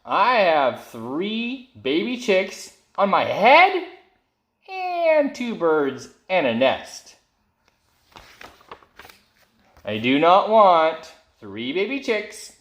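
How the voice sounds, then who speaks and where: A man voicing an anxious, excitable, easily exasperated elephant from a children's storybook, with dramatic, fretful exclamations. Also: sits high, takes it slowly, exaggerated voice. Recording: roomy echo.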